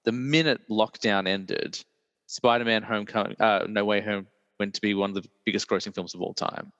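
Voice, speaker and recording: A man, hosting a casual film chat in a deep, croaky voice while he recovers from illness, rambling with many fillers. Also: fairly even intonation, fairly quick, a bit bright, no room sound, long reverb tail, lo-fi sound. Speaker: podcast host.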